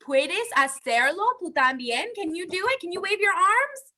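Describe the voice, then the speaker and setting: A woman's voice: very high voice, lively intonation, normal speed, pushed and bright. A woman teaching bilingually at an interactive children's story time, upbeat and encouraging.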